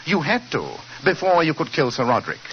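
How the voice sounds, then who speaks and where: An incisive British detective from a 1940s radio play. His fairly high voice swings expressively while staying precise and superior. The old recording is dull with some noise. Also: huge pitch swings, fairly quick. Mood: assured. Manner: precise, clipped, authoritative. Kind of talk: 1940s radio drama acting.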